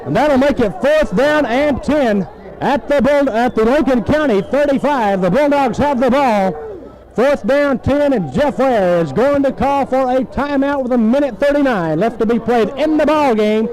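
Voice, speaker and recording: A man's voice: very high voice, moderate pace, a little mellow, poor audio. A man calls live football play-by-play on a 1970s radio broadcast, in clipped phrases full of names and numbers.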